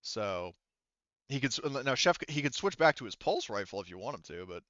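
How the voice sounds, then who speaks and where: A man acting as game master, narrating a tabletop roleplaying game in a halting, thinking-aloud way. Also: mid-range voice, very animated, fairly bright tone, dry recording, highs cut off. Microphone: headset.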